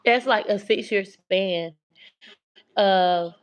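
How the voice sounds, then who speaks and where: A woman hosts a phone livestream from her home abroad, talking in a soft, childlike baby voice. Her chat is loose and rambling, circling back over the same points with easy warmth toward her viewers. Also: mid-range voice, very slow, smooth. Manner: chatty and rambling, repeats herself, speaks with faith. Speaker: host of a relocation livestream. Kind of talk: casual livestream talk to viewers.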